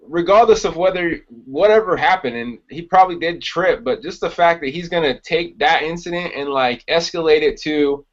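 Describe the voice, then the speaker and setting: A man's voice: on the high side, normal speed, few pauses. A man hosting a casual podcast interview, talking in a blunt, informal way. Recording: dull lo-fi podcast recording.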